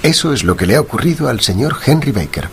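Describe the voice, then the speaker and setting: Un actor interpreta a un detective brillante y seguro, didáctico y preciso, en una radionovela. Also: male voice, mid-range voice, very animated, fast talker, slight background noise. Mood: confident, amused. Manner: didactic, assured, precise. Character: brilliant, confident male detective, teasing and methodical. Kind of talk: Spanish-language radio drama dialogue.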